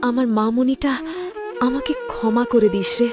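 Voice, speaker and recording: Woman playing a frail, gentle village mother, music behind. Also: fairly high pitch, fairly even intonation, normal speed, natural voice. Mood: dying, pleading. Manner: humble, tender, pleading. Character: frail, gentle, self-sacrificing poor widowed village mother. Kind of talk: Bengali cartoon moral-story voice acting. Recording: music under the voice.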